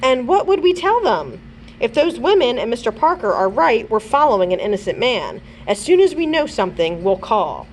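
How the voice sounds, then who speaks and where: A woman reads aloud as a bold young girl sleuth from a children's mystery. She is urgent and sure, with a lively, swinging pitch. There is faint noise on the recording. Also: normal speed. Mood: urgent, determined.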